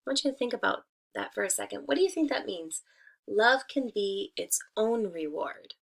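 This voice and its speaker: Female elementary teacher, mid pitch with noticeable fry. Deliberate read-aloud delivery aimed at young children. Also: animated.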